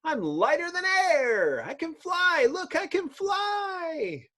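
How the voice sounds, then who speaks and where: A performer voicing an impulsive, excitable teenage boy with a wide-eyed, melodramatic way of talking in a comic-book drama. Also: extremely high pitch, takes it slowly, voice pushed up, exaggerated voice. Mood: astonished, excited.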